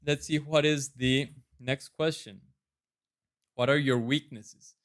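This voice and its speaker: A man's voice: medium pitch, steady tone, slow. A man in his thirties with a Costa Rican accent, earnestly and self-assuredly practicing his answers to residency interview questions on camera. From Costa Rica. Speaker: residency applicant.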